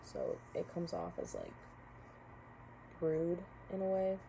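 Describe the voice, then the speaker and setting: A woman's voice: lowish voice, huge pitch swings, takes it slowly, gravelly, background noise. A young woman, a college student, giving a casual spoken class reflection, hesitant with many fillers and restarts. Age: young adult.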